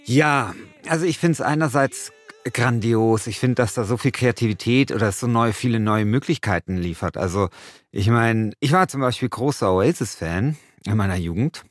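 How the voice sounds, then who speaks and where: A man's voice: mid-range voice, moderate pace. A man talking casually and unscripted as a co-host in a discussion. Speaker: podcast co-host and radio play writer.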